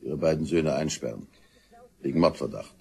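Stern cop in a crime audio drama, dry and in charge, low creaky voice. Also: male voice, normal speed, dark tone, full character voice. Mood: matter-of-fact. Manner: dry, matter-of-fact, authoritative. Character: stern, methodical male police detective inspector. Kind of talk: German crime radio play acting.

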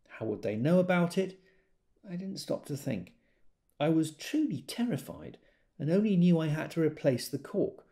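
Quiet, creaky confessional storyteller. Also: male voice, a little high. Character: reflective adult recounting an anxious childhood memory. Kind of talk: confessional audio drama monologue.

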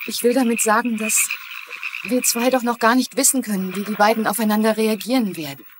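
An actress playing an anxious, sensitive young daughter in a dubbed TV mystery, earnest and tentative. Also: female voice, fairly high pitch, steady tone, a little fast, close to an everyday voice. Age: young adult. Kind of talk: dubbed TV mystery drama acting. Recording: clear background noise, outdoor-like ambience.

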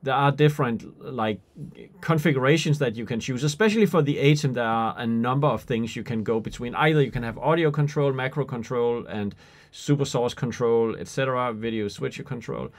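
A man's voice: medium pitch, a little fast. A man in his forties giving an unscripted tutorial demonstration, informal and somewhat hesitant as he thinks aloud. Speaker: tutorial presenter. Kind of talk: unscripted software and hardware demonstration. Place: small indoor room with skylight window.

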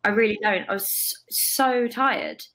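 A young woman, a guest telling emphatic, expressive stories over a video call from a bright room. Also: mid-range voice, unhurried, rough recording. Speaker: guest, stage performer. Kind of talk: casual interview.

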